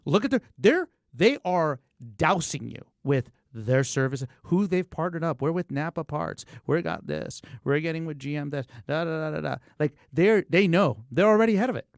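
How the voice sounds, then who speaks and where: Very animated, emphatic man on a podcast. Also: a little high, moderate pace, creaky, hardly any pauses, dry recording, clean studio sound. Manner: emphatic, repeats words for effect; informal, acts out imagined quotes. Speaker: car dealership service coach. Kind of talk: animated business podcast conversation.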